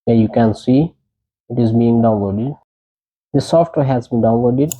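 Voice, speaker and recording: A man's voice: mid-range voice, unhurried, warm tone, poor audio. A man presenting a tech tutorial, giving short, plain step-by-step instructions.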